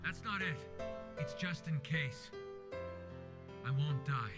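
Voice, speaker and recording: A resolute man in a video game, ready to sacrifice himself. His voice sits a bit high, earnest and urgent, with music loud behind it. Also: takes it slowly. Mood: reassuring, determined.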